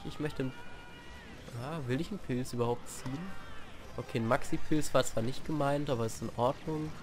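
A young man with a cold, chatting through gameplay as a let's play host, with sudden shouted outbursts, recorded on a sofa at home. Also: medium pitch, normal speed. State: has a cold, clears throat. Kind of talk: gameplay commentary. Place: living room at home, on a sofa. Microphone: desk mic propped on games on the sofa. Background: faint game music.

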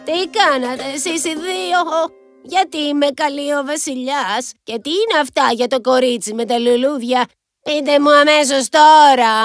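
Humble poor mother, high voice, stern with the kids, polite at court. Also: faint music behind. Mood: scolding. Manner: stern with her daughters, humble and polite before royalty. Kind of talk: Greek cartoon dub acting.

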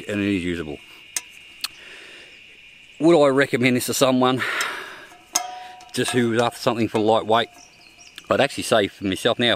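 Low-voiced Australian man reviewing outdoor gear. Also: a little hiss or hum. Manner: plain-spoken, frequent ums and restarts. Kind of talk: casual gear review vlog. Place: outdoors in open bushland.

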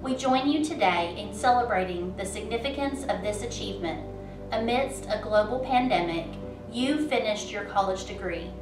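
A woman speaking as an interim college dean, giving a steady, formal address indoors. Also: mid-range voice, moderate pace. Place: indoors before a dark curtain. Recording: echoey, distant mic, music behind.

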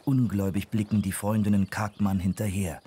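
A man narrating a children's audio drama from a script in an expressive storytelling manner. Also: on the low side, a little fast, breathy edge.

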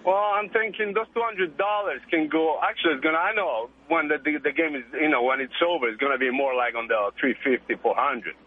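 A man's voice: a little high, a little fast, few pauses, breathy edge. A man from Italy with an Italian accent, calling into a radio talk show and chatting casually and eagerly. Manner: chatty, eager, laughs easily. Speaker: caller. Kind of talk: casual call-in chat. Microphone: telephone. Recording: phone line.

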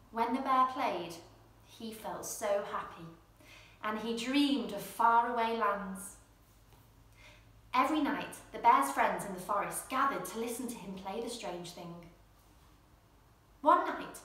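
A young woman presenting and reading a children's storybook in an expressive, gentle bedtime style. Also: fairly high pitch. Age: young adult. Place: indoor room at home, seated in armchair. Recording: very echoey room.

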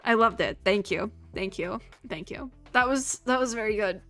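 A young woman giving casual, excitable reaction commentary as a video host, often repeating herself. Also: medium pitch, normal speed, faint music behind.

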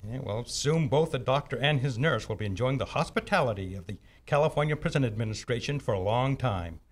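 A middle-aged man playing a genial, hard-boiled police lieutenant with a husky voice in an old-time radio drama on stage. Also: medium pitch, expressive, brisk, natural voice. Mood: genial, wry. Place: on a stage, live performance. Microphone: large vintage-style microphone on a stand.

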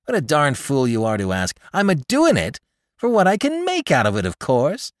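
A man voicing a blunt, rough-spoken male woodman who scorns his neighbor. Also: medium pitch, wildly swooping pitch, normal speed, no room sound, clean studio sound, pitched above the performer's own voice. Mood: scornful.

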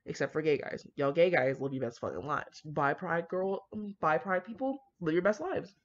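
A woman's voice: low voice, normal speed, highs cut off. A young woman reading fan fiction aloud, with brash, slangy, profane casual commentary.

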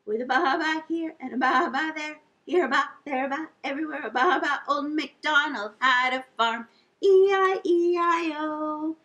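A middle-aged woman hosting a sing-along storytime for young children, animated and encouraging as she addresses them directly. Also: high voice, normal speed, dull audio. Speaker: children's library storytime host. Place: indoor lounge with couch and fireplace.

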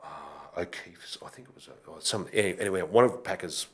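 A middle-aged man hosting a casual interview, thinking aloud in a halting way on a close studio microphone. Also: low voice. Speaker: podcast host. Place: small indoor podcast studio. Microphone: close boom-arm studio microphone with headphones.

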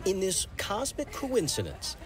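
A man in his fifties, a TV news reporter, giving a live report and narrating in an earnest, lively way. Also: high-pitched, a little slow, over music. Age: 50s. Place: outdoors on a large open city lawn.

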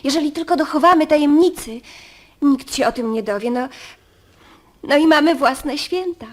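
A woman's voice: high voice, moderate pace, lightly acted. An actress playing an earnest, reassuring young girl in a radio drama. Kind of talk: Polish radio drama acting.

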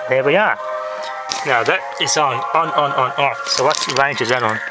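A man giving a casual hands-on electronics demonstration, talking haltingly as he thinks aloud. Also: medium pitch, loud music behind the voice. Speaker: hobbyist presenter. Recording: noisy amateur handheld camera audio with clicks.

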